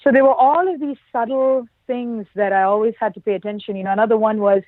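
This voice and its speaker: A woman's voice: on the high side, steady tone, fast, no echo. A woman from Oman and India answering as a podcast guest, telling personal stories in a conversational interview style. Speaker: podcast guest, production engineer. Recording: lo-fi band-limited call audio.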